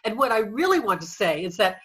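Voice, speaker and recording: A woman's voice: mid-range voice, big pitch swings, fairly quick, a bit bright. A woman playing a defiant, dignified suffragist on trial, speaking oratorically and emphatically in a reader's theater piece. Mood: indignant, firm. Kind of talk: reader's theater courtroom speech.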